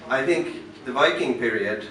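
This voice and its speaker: A Norwegian-accented man speaks from a stage. He talks slowly and deliberately, pausing and restarting as he thinks. The sound is caught from far off in a roomy venue, with faint noise around it. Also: mid-range voice, bright, forceful tone. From western Norway. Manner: halting and thoughtful, many pauses and restarts. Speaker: musician introducing his work on stage. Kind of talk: unscripted stage talk to a concert audience. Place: concert club venue. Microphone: stage microphone through the house speakers, recorded from the audience.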